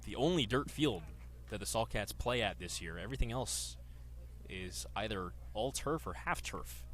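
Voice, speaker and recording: A man's voice: fairly low pitch, expressive, moderate pace, fairly bright tone. A man in his twenties calling a baseball game live as a radio play-by-play announcer. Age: 20s. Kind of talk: live sports commentary.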